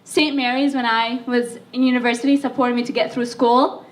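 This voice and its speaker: A woman's voice: a little high, little pitch movement, brisk. A young woman from Libya, who is sick, giving a chatty, self-deprecating speech to an audience, with plenty of fillers. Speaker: speaker. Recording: voice from far off the mic.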